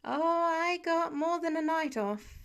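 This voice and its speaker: A woman plays a teenage boy superhero in a narrated story, pitched high and youthful. He is earnest and polite, hesitating and tripping over his words as he goes. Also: lively intonation, unhurried, full character voice. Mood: pouty.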